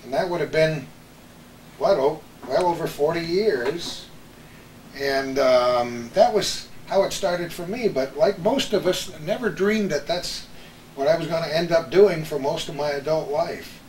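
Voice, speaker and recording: Higher-voiced older American man, roomy recording. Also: measured pace, darkish tone. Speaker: radio veteran hosting a panel chat. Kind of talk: reminiscing conversation. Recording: roomy echo with background hiss.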